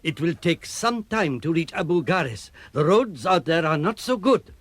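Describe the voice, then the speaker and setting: An actor playing a grave, courteous Egyptian police inspector in a radio drama, formal and deliberate. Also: male voice, on the high side, expressive. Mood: measured, probing.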